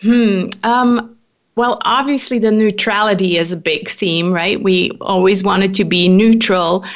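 A woman's voice: medium pitch, steady tone, a little slow, lo-fi sound. A woman in her forties from Switzerland, a podcast guest chatting casually, with frequent laughs and restarts. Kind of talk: casual interview conversation.

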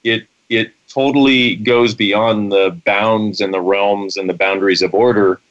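A man co-hosts a radio talk show with a bright, forceful voice that sits a little low. He jokes and laughs easily, then settles into long explanations for a caller. The audio is dull and lo-fi. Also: fairly even intonation, unhurried. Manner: jokey, laughs often, then explains at length. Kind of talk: casual radio chat.

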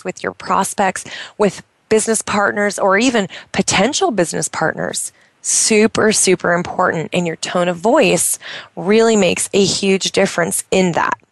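A woman giving an enthusiastic motivational talk as a business coach, emphatic and full of exclamations, with a naturally loud voice. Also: mid-range voice, creaky, dry recording, poor audio.

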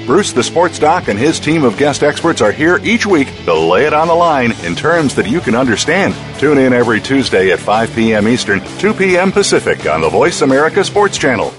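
A male announcer with a lively, swinging delivery reading a radio show promo. Guitar music plays clearly underneath him. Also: mid-range voice, a little fast, hardly any pauses. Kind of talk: scripted promo read.

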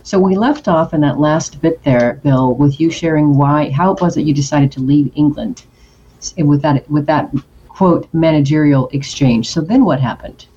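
A woman hosting a talk radio show, moving quickly through plugs and segues to her guest. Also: deep voice, dark tone, highs cut off, slight background noise. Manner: quick, promotional, smooth segues.

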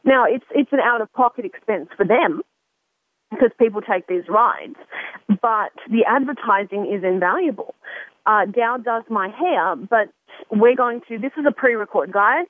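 A woman's voice: fairly high pitch, animated, moderate pace, no room sound. A woman hosting a radio talk show, chatty and often restarting her sentences. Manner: chatty, self-interrupting, repeats words. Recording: narrow phone-like line.

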